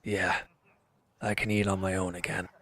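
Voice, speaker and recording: A man plays a wounded villain in an audio drama, with a low voice. He is bitter and withdrawn, answering in curt, grudging phrases as if guarding himself. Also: flat delivery, normal speed, pushed and bright. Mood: sullen, evasive. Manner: curt, evasive, grudging, sighing. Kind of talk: scripted audio roleplay acting.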